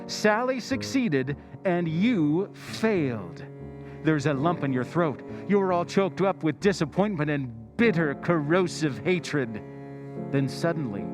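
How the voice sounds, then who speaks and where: An actor playing the Whistler, an ominous male narrator, in a 1940s radio drama recreation. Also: a little high. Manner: brooding second-person narration, drawn-out taunting phrasing. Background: guitar music.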